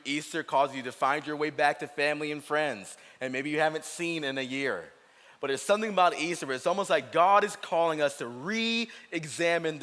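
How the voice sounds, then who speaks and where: A man's voice: a little high, a bit bright. Earnest man in his thirties preaching. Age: 30s. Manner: earnest, personal, slows down on key phrases. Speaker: pastor. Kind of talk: Easter sermon, shared testimony. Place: large church auditorium. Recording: reverberant hall with music underneath.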